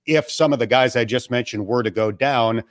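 A middle-aged man from western New York, a sports broadcaster talking calmly and knowledgeably as a guest over a video call from home. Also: medium pitch, dry recording, clean studio sound. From western New York, USA. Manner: measured, knowledgeable, plain-spoken. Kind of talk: casual podcast interview. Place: family room at home.

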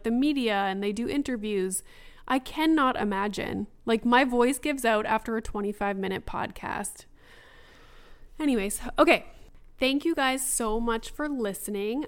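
Young female podcast host, solo episode, casual and rambling, smooth but slightly raspy voice. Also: a little high. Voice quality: raspy after long talking. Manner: chatty, frequent fillers like "you know" and "like". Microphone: laptop recording setup.

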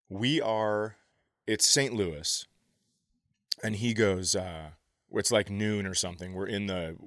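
A man telling casual, animated stories as a comedian on a podcast, often profane and easily amused. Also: deep voice, no room sound, studio quality. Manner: acts out other people's quotes.

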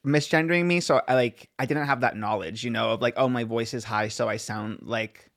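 A young man with a naturally high voice, co-hosting a podcast in casual, wry chat. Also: brisk. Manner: easygoing, wry, laughs softly. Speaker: podcast co-host.

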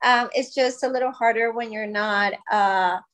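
A woman's voice: a little high, steady tone, moderate pace, a bit bright. A woman in her thirties from Colombia, telling her own story as a podcast guest in a candid, informal, conversational way. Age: 30s. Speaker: podcast guest, wellness business founder.

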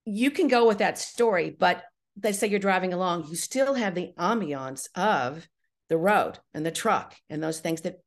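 Female interview guest, slightly low voice, explanatory and conversational, checks in often as she explains. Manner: explanatory, often checking with "right?" and "you know". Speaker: guest, audio drama writer-director.

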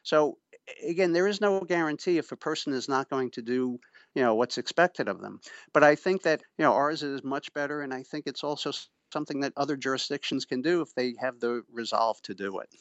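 A middle-aged man giving measured, formal and emphatic explanations as an expert guest in an interview. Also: medium pitch, fairly bright tone, dry recording, muffled recording. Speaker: interviewee, pretrial services official and former prosecutor. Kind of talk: explanatory radio interview answers.

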